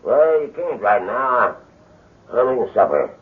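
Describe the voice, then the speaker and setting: An actor playing a gruff, mocking, stubborn old landowner in a radio drama. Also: male voice, mid-range voice, sing-song, measured pace. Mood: irritated. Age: older. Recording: dull 1970s radio recording.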